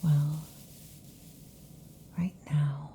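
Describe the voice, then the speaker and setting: A woman voicing a restless, affectionate female lamia in an ASMR roleplay, whispering softly. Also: low voice, level tone, extremely slow, breathy edge, heavily acted. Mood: whispery, intimate. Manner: soft intimate whispering. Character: restless affectionate female lamia, snake-tailed monster girl.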